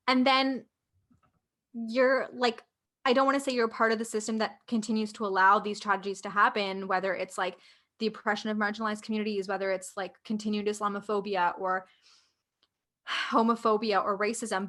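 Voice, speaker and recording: Woman, Canadian accent, young adult, casual podcast co-host, filler-heavy, higher pitch. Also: fairly bright tone. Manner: thoughtful, filler-heavy, many "like"s. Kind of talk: casual conversation.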